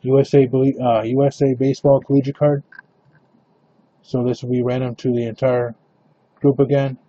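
Flat, mellow-voiced man announcing cards. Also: mid-range voice, normal speed, dull audio. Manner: steady, matter-of-fact announcing with counting aloud. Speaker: card break host. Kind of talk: live card break commentary.

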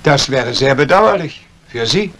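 Creaky-voiced, cold scheming banker. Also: male voice, mid-range voice, lively intonation, a little slow, fairly bright tone. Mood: quietly threatening. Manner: calculating, menacingly polite. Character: cold, scheming embezzling banker plotting murder. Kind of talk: 1950s German radio crime drama acting.

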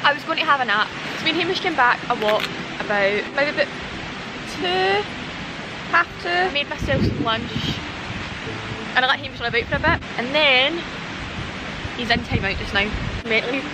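A woman's voice: sits high, lively intonation, normal speed, pauses often, background noise. A young woman with a Scottish accent, vlogging casually to camera and rambling through stories with lots of fillers. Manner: chatty, rambling storytelling with frequent fillers. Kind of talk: casual vlog chat to camera. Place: outdoors at a campsite in winter, then inside a car. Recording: background music under the voice.

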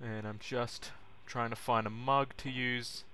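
A man's voice: mid-range voice, fairly even intonation, measured pace. A young man giving an informal, self-deprecating how-to narration. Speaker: vlogger demonstrating how to make coffee. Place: small home kitchen, indoors. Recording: noisy kitchen recording with clicks and clatter.

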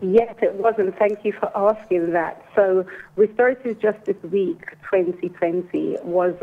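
English-accented woman on a tinny phone line. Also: medium pitch. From Jamaica. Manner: earnest, careful, deliberately slow delivery. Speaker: guest, restorative justice coordinator. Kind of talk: phone-in interview, explaining a program. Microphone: telephone.

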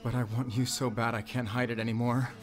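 A man's voice: mid-range voice, level tone, fairly quick, over music, lightly acted. A man playing a lovestruck, vulnerable divorced father in an intimate audio roleplay, speaking in a hesitant, confessional way. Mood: yearning.

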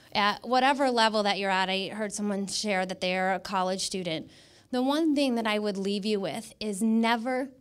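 American woman, middle-aged, mid-pitched voice, emphatic motivational speaker on a handheld mic. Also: clean tone. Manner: emphatic, repeats words for stress. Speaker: guest speaker, image consultant. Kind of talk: motivational public talk. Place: indoor stage in a dark event room. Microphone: handheld microphone.

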